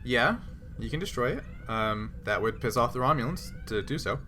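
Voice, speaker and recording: A man acting as game master, explaining the situation of a sci-fi tabletop game in a halting, improvised way. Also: mid-range voice, lively intonation, pushed and bright, a little hiss or hum.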